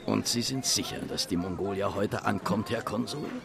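An actor playing an impatient detective in a radio play, questioning in a clipped way. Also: male voice, on the low side, normal speed, vocal fry, crowd noise. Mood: impatient, doubting. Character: Mister Fix, impatient British detective.

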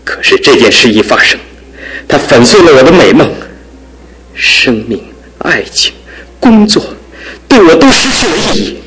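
An actor in a radio drama playing a despairing young man crippled in one leg, his talk swinging from hushed to anguished outbursts. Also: on the high side, very animated, normal speed, long pauses, rough recording. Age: young adult. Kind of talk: broadcast radio drama acting.

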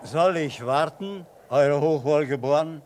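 An actor playing a deferential, eager sleigh driver in an old radio play with a slightly noisy recording. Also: male voice, a little high, unhurried, a little mellow, lightly acted. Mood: humbly eager. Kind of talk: 1960s German radio play acting.

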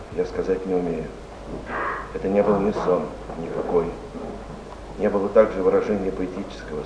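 A grieving period gentleman played by a man speaks a solemn, deliberate monologue in a deep voice. The stage recording is noisy and echoey. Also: muffled recording, natural voice. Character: grieving 19th-century friend of a dead poet, solemn.

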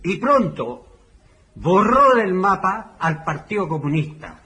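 Slow, high-voiced older Chilean man. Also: sing-song, bright, forceful tone. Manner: deliberate, halting phrases with pauses. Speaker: former community leader giving testimony. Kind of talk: oral history storytelling.

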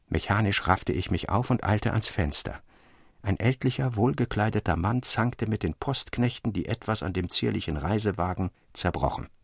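An actor playing a romantic young man who fervently recounts a dreamlike infatuation to his friend in formal, old-fashioned radio storytelling, with a husky, gravelly voice. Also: medium pitch, no echo, clean studio sound, heavily acted. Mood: recollecting. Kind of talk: dramatic literary narration for radio.

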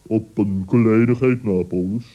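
A man's voice: fairly low pitch, steady tone, measured pace, dark tone, lo-fi sound. A man voicing a stern, fussy old male owl in an old radio play, pompous and pedantic in his corrections. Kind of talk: 1960s radio play acting.

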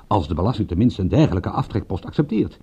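An actor playing a sharp, persistent private detective in a radio drama, brisk, questioning and commanding, prickly and secretive. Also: male voice, low voice, big pitch swings, husky, exaggerated voice. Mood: excited, probing.

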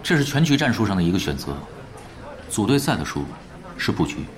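A man's voice: fairly low pitch, very animated, fairly quick, a little hiss or hum. A man playing a composed, astute gaming veteran in an audio drama, matter-of-fact and coolly analytical. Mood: calm, analytical. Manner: terse, matter-of-fact, explanatory.

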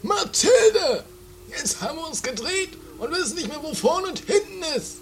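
A man's voice: extremely high pitch, very animated, moderate pace, full character voice. A man playing an exasperated, put-upon, sensible companion in an amateur comedy radio play, complaining theatrically. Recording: very noisy lo-fi 1980s home recording.

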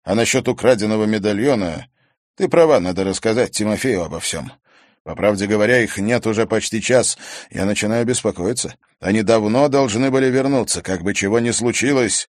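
Male narrator as a calm, blunt young student, low and steady. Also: fairly quick, dry recording, clean studio sound, lightly acted. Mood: serious. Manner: calm, matter-of-fact. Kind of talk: audiobook character voicing.

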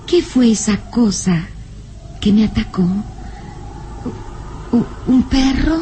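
An actress playing a fragile, frightened young woman in a melodramatic radio serial, halting and anxious but insistent. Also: fairly high pitch, expressive, mellow. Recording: noisy vintage radio drama recording.

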